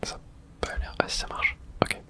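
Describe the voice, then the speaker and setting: A person whispering a casual ASMR video game playthrough, muttering to themself as they play. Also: moderate pace. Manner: whispering throughout; casual swearing, muttering to self.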